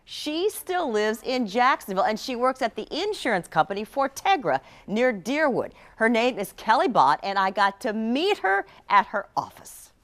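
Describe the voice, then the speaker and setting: A woman's voice: a little high, very animated, normal speed, hardly any pauses, fairly bright tone, a little hiss or hum. A woman news anchor and reporter telling stories in a chatty, emphatic, conversational way.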